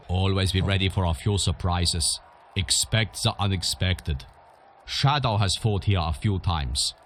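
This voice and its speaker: Very deep, gruff arena boss. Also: male voice, a little hiss or hum. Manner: commanding, blunt. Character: gruff, brisk male arena boss, hardened and bossy. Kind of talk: video game character acting.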